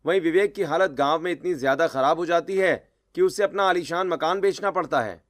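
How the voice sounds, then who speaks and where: A man's voice: on the high side, brisk. A man narrating a scripted moral story in a storyteller's role.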